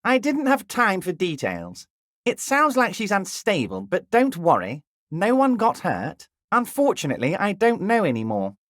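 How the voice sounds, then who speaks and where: An actor voicing a jovial, scheming middle-aged union boss in a video game, smooth and ingratiating, dropping low and soft on pet names, crude at times. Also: male voice, huge pitch swings, moderate pace, no echo, clean studio sound, heavily acted. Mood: smug, ingratiating. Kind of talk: video game character dialogue.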